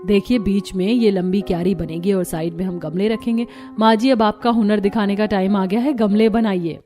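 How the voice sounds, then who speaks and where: A woman's voice: mid-range voice, fairly even intonation, normal speed, warm tone, soft background music, lightly acted. A woman voicing a clever, determined young daughter-in-law in a cartoon story, speaking in a confident, persuasive way. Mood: upbeat, persuasive. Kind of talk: Hindi cartoon story dialogue.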